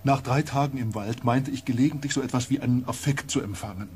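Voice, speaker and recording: A man plays a haunted, weary space explorer in a science-fiction radio drama. His phrasing is halting and brooding, at a middling pitch, with faint noise behind him. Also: darkish tone, close to an everyday voice. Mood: uneasy, wondering.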